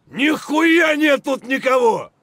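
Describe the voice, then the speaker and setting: Very high, urgent shouting soldier. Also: male voice, very animated, slow, no echo, full character voice. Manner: shouted, urgent, coarse barks. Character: aggressive foul-mouthed Russian soldier shouting under fire. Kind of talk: military shooter game voice lines.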